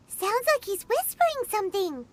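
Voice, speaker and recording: A woman voicing a tiny, excitable fairy companion in a video game who talks about herself in the third person. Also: very high voice, takes it slowly, slight background noise, heavily acted. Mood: worried.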